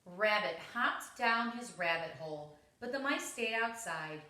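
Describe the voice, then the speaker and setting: Bright-voiced woman reading aloud, very echoey room. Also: mid-range voice, a little slow. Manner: expressive, dramatic emphasis. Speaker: storybook reader. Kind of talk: scripted children's story read-aloud. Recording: roomy, echoing home recording.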